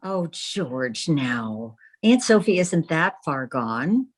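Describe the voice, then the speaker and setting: A woman's voice: sits low, big pitch swings, takes it slowly, heavily acted. A woman playing a wry, romantic leading lady in an old-time radio newspaper comedy.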